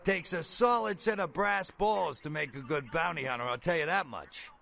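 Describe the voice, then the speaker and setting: A man playing a brash, folksy middle-aged bail bondsman in a video game, with a gravelly voice and blunt, slangy talk. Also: a little high, moderate pace, sharp tone, a little hiss or hum. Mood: frank. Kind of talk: video game character dialogue.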